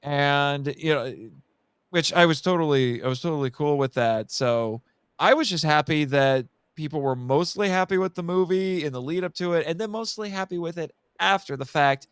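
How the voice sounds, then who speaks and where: A man's voice: fairly high pitch, moderate pace, smooth, dry recording, studio quality. A man talking casually and enthusiastically about films as a podcast guest, with a jokey streak. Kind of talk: casual film discussion.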